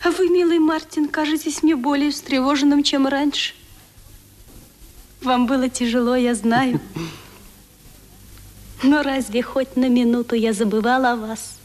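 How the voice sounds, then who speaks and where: Radio play ingénue: gentle, devoted, high voice, tender delivery. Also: female voice, fairly even intonation, unhurried, pauses often. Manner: tender, earnest. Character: gentle, devoted young sweetheart, tender and anxious. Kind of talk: 1970s Soviet radio play acting.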